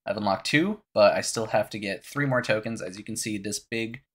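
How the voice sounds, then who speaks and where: A young man with a low, scratchy voice, raspy from a cough. He talks casually and explains things step by step, like a gaming creator guiding viewers through a menu. He speaks into a headset in a small room at home. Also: a little fast, a bit bright. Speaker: gaming YouTuber. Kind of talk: casual game walkthrough.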